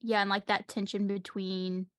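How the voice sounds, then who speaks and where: A woman's voice: mid-range voice, level tone, moderate pace, fairly bright tone, no echo. A young woman talks through her points as a podcast co-host. Her delivery is analytical and conversational, and the sound is dry, with no real room on it. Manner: analytical, explanatory. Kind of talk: casual book discussion chat.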